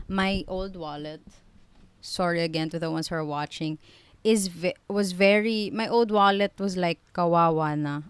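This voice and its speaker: A young woman in her twenties talks in a chatty, rambling way, correcting herself and adding quick asides. Her voice sits a little low and sounds smooth and clear, recorded close and dry with no room echo. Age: 20s. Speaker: vlogger. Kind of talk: casual chatty vlog.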